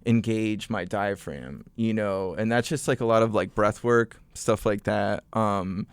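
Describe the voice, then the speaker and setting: A man's voice: fairly low pitch, measured pace, clean tone, no echo. A young adult man, a musician and vocal coach, chatting casually as a podcast guest with lots of fillers and restarts. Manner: relaxed storyteller, many fillers and restarts.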